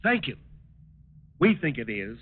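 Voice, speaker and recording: An actor playing a smooth, evasive war-plant owner in a 1940s radio drama, polite but guarded and hesitant. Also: male voice, medium pitch, big pitch swings, unhurried. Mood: guarded politeness. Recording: tinny, noisy 1940s radio recording.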